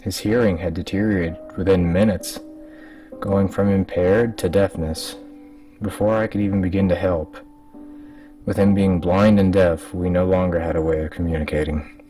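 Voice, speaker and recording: Man, deep voice, scripted horror narration, distant mic, music underneath. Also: fairly even intonation, moderate pace, mellow.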